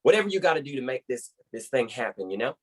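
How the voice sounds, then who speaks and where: A man's voice: medium pitch, sing-song, fast talker, husky. A man acts out a folksy American boss leading a team call in a comedy web series. He rambles in a mid-range voice, effusive and upbeat, heaping praise as he hands out orders.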